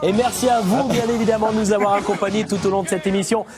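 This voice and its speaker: A man's voice: high-pitched, fairly even intonation, fast talker, over music. A man hosting a live TV show, introducing a performance and closing the broadcast in an upbeat announcer manner. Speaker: TV show host.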